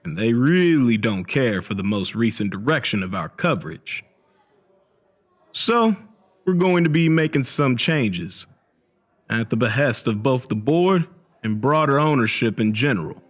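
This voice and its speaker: A man playing an older, diplomatic newspaper editor in a comic dub, formal, measured and apologetic. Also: mid-range voice, expressive. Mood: weary, careful.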